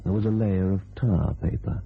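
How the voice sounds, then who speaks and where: A man narrating a grim crime thriller radio play in terse, deliberate sentences with a very deep, gravelly voice. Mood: grim, suspenseful. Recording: tinny band-limited radio recording, music behind.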